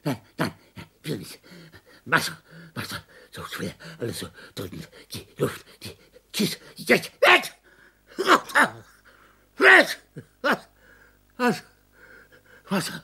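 A delirious woman fighting for air, her voice extremely breathy and raw. She gasps out slow, fragmented pleas, repeating words in terror. Her pitch sits a little high and lurches widely. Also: huge pitch swings, bright, forceful tone, exaggerated voice. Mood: panicked. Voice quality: gasping, broken fragmented phrases. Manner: pleading, panicked, repeating words. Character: delirious woman suffocating in fever, terrified. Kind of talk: dramatic acting.

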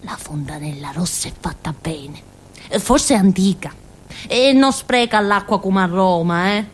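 An actress playing a naive, chatty village fool in a theatrical monologue, with a southern Italian accent and a rambling, giggly way of talking. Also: female voice, medium pitch, animated, unhurried, breathy edge. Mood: explaining, childlike. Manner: rambling, giggly, with frequent interjections and tag questions.

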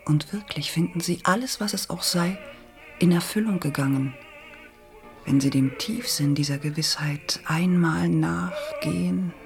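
Radio drama: seductive phantom singer. Unhurried, breathy, half-whispered, enigmatic. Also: female voice, lowish voice, a little mellow, soft background music. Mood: hushed, enigmatic. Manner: slow, enigmatic, probing. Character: mysterious, seductive opera singer, dreamlike apparition. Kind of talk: literary radio play acting.

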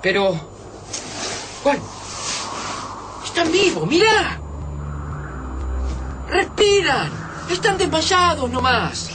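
A man's voice: very high-pitched, huge pitch swings, very slow, pauses often, recorded from a distance, noisy background, exaggerated voice. A man with an Argentine Rioplatense accent acting a level-headed survivor of an invasion in a radio drama. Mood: urgent.

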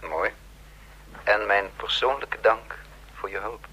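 A polite, self-possessed intelligence boss in a radio play. He speaks formally in a sharp-toned, slightly rough voice, measuring his words with small hesitations. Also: lowish voice, slow, heavily acted, low-quality recording. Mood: businesslike, polite. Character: courteous, self-possessed male intelligence chief. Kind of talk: Dutch radio drama acting.

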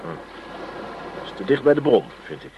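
An actor in a Dutch radio play playing a distrustful, jealous criminal accomplice who talks in terse, grumbling phrases. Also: male voice, medium pitch, sing-song, a little fast, lo-fi sound, close to an everyday voice. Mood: suspicious, sullen. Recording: noisy radio drama with background ambience.

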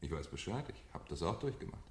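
An actor playing a mocking fire captain with a falsely hearty, theatrical, menacing manner in a 1970s German radio drama. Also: male voice, low-pitched, sing-song, quick pace. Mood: menacing, sardonic. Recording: echoey room, vintage radio play.

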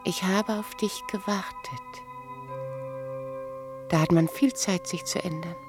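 An actress playing a mature, serene wife who appears like a dream in a radio play, speaking in measured, poetic phrases. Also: female voice, mid-range voice, expressive, over music. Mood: wistful.

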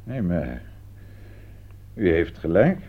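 A man acting in a Dutch radio play as a gruff, authoritative older police commissioner, curt and commanding. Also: sits low, very animated, slow, heavily acted. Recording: dull, faintly noisy radio play recording.

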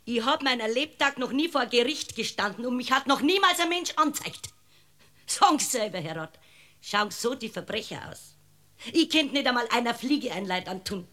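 A woman's voice: on the high side, lively intonation, moderate pace, pushed and bright. An actress playing an indignant, gossipy village woman with a Bavarian accent in a comic radio play, talking on self-righteously. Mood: aggrieved innocence. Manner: voluble, self-righteous, complaining. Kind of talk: comic radio courtroom drama.

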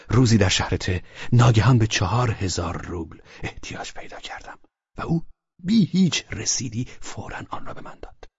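Brief: male narrator doing a tense, brooding nobleman in a storybook reading, low husky voice, dry dull recording. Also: dry recording, full character voice. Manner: rising, excited outbursts and hushed whispers. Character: agitated middle-aged nobleman, anxious and brooding.

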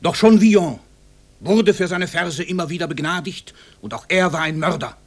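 An actor playing a celebrated, suave writer who confesses to murder, speaking with theatrical, deliberate condescension in a radio drama. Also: male voice, on the high side, dry recording, lightly acted. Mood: grandly emphatic. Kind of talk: mid-century German radio drama acting.

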